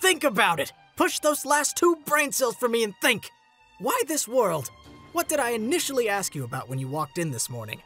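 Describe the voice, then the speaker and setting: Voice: mid-range voice, very animated, moderate pace, pushed and bright. A performer voicing a smug, sarcastic, petty young trickster in a comic dub, taunting and theatrical, over music. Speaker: voice actor in a fan comic dub. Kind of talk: animated comic dub acting.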